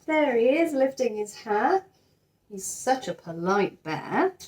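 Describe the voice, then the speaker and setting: A woman teacher sharing a children's picture book, expressive and enthusiastic, with chatty asides. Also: fairly high pitch, slow pace. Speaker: teacher reading to pupils. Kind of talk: children's storybook read-aloud with chatty asides.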